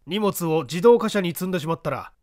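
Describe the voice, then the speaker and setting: A man voicing a composed, commanding gang leader of gentleman thieves in a storybook reading, curt and self-assured. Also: sits high, lively intonation, brisk, no room sound, clean studio sound.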